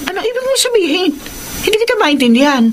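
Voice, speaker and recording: Nagging older mother for radio drama: anxious, extremely swooping pitch, noisy background. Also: sing-song, fast. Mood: alarmed. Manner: alarmed, nagging. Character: anxious, scolding older mother of grown son. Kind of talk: Filipino radio drama acting.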